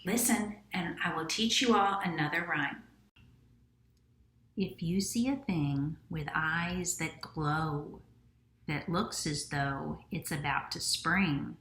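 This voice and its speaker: Woman as a gentle mother mouse, unhurried, with a hushed, warning tone and a bright voice. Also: deep voice, roomy sound, full character voice. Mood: teaching.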